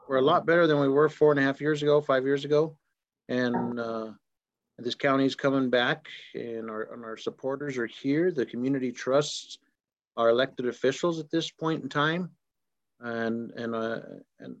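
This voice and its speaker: A man's voice: mid-range voice, dry recording. A man chairing a county meeting, easygoing and rambling as he moves the business along. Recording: dull, dry close sound.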